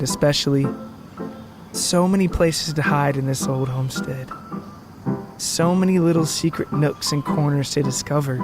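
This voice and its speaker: A man's voice: on the high side, natural voice. A man voicing an earnest, nostalgic British woman in an audio story with music behind.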